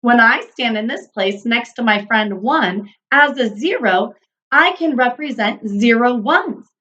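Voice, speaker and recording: A woman's voice: a little high, animated, measured pace, pushed and bright, lo-fi sound. A woman voicing an earnest, eager number character, a hopeful young inventor in a children's storybook. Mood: proud, hopeful.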